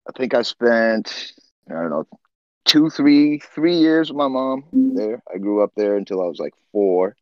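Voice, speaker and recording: A man from Nepal, a guest on an interview podcast, telling his life story in a relaxed, chatty way with frequent "uh" and "you know". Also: mid-range voice, unhurried, dry recording, muffled recording, faint music behind. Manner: laid-back, laughs often, trails off with "uh" and "you know". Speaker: guest, swimbait builder.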